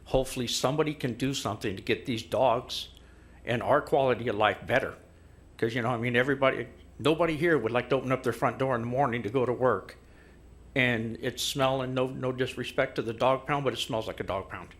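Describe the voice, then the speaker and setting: A man of middling pitch makes his last plea to a council. He sounds frustrated and pleading. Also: brisk, a bit bright. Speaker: public commenter. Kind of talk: public comment.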